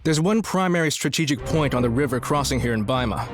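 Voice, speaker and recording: A man's voice: mid-range voice, lively intonation, fast talker, music in the background, lightly acted. A man playing a businesslike military strategist warrior in a video game cutscene.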